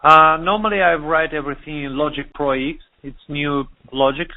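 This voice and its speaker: A man's voice: fairly high pitch, fairly even intonation. Russian-accented man on a tinny phone line. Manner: halting non-native English, searching for words. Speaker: DJ and producer. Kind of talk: interview over the phone.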